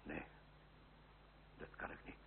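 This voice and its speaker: An actor playing a loyal older uncle in a period radio drama, gentle and sorrowful, with a breathy murmur and hesitant, earnest delivery. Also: male voice, slow pace, close to an everyday voice. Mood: confiding. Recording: old muffled radio play, faint hum.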